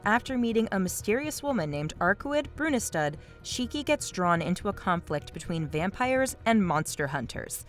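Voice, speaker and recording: Woman doing scripted video essay voiceover, lively intonation, clear explaining, music underneath. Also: mid-range voice. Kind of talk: scripted narration. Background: background music.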